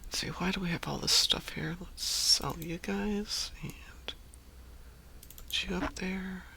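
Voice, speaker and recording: A man's voice: sits high, fairly even intonation, takes it slowly, vocal fry, sharp tone, breathy edge, whispered. A man streaming a game, chatting casually and musing aloud as he sorts through menus. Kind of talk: casual chat while gaming.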